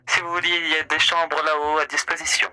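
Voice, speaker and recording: A man with a very bright, sharp voice plays a smooth hotel host in a roleplay. He draws out his hums theatrically, while soft music plays behind him. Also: fairly high pitch, little pitch movement, fast, low-quality recording. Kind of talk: in-character roleplay chat.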